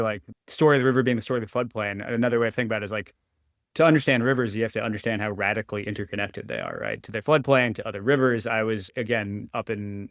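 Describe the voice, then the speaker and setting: A man speaks as an author guest on a podcast. He talks fast and eagerly in a lowish voice and often breaks off to restart a thought. The recording is dry and close. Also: fairly bright tone, dry recording. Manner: quick, eager, self-interrupting. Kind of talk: conversational interview.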